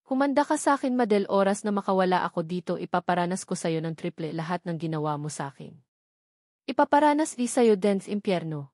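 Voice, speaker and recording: A woman's voice: on the low side, expressive, quick pace, a little mellow, dry recording, lightly acted. A defiant captive heroine from an audio novel, read by a woman narrator, speaks bitterly and vows revenge. The recording is dry and close. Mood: vengeful. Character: defiant captive wife, a secret agent vowing revenge.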